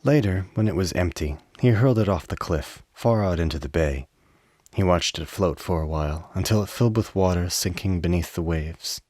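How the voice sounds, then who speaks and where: A man's voice: sits low, normal speed, a little mellow, no echo, studio quality. A man reading scripted narration for a radio play.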